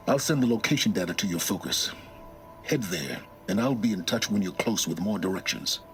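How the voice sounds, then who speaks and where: An actor playing a cagey, erudite informant in a game, speaking in a dry, deliberate, explanatory way. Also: male voice, sits low, lively intonation, faint music behind. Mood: intrigued. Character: cagey, erudite, dryly amused male informant. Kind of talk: dramatic game acting.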